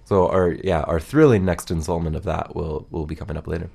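Male radio co-host, very low voice, loose conversational delivery. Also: steady tone, a little fast, gravelly, darkish tone, husky. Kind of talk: casual morning radio chat.

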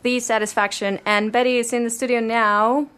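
A woman's voice: on the high side, little pitch movement, moderate pace, a bit bright, clear, resonant tone. A woman hosting a casual campus radio interview from the studio, hesitant, with lots of fillers and easy laughs. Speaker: radio host.

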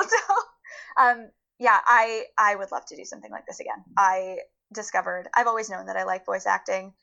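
Casual female interview guest, very bright voice, informal and emphatic delivery. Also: mid-range voice, lively intonation, moderate pace, gravelly, muffled recording. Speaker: interview guest, voice actor and audio play producer.

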